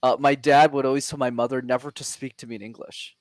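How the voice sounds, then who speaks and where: A man's voice: medium pitch, steady tone, quick pace, a little hiss or hum. A man from Quebec being interviewed as a speaking coach, telling his story earnestly. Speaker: guest, public speaking coach. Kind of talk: casual interview.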